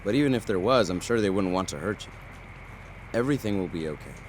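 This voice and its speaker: A man playing a calm, reassuring doctor with an elderly patient in an audio drama. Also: deep voice, fast. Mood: soothing, firm. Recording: loud ambient noise behind the voice.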